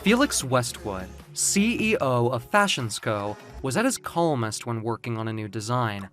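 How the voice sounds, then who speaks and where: A man narrating scripted dark fiction in a dramatic storytelling style. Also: mid-range voice, very animated, moderate pace, soft background music.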